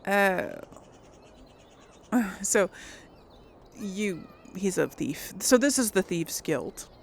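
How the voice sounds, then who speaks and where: A woman's voice: mid-range voice, lively intonation, very slow, creaky, slight background noise. A woman doing casual let's play commentary, informal and thinking aloud as she goes. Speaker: game streamer. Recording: faint game sounds behind voice. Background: video game ambience.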